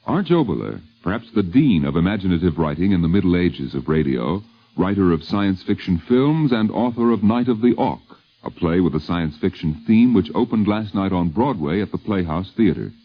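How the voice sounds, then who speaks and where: A husky American man narrates a vintage radio documentary. His pitch moves widely as he delivers formal, wry, literary copy. The recording is muffled and lo-fi, as from 1950s radio. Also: expressive, normal speed, hardly any pauses.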